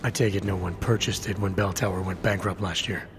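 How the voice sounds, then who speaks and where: An actor playing a grim, guarded cyborg agent in a video game, speaking in a low, clipped way. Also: male voice, steady tone, a little fast, soft background music, natural voice. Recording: game cutscene with loud score.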